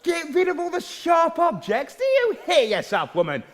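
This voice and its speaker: A man playing a blustering, exasperated and hapless comic king in a pantomime, excitable and prone to stammering. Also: very high voice, moderate pace, exaggerated voice. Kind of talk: broad pantomime stage comedy. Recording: faintly noisy live stage recording.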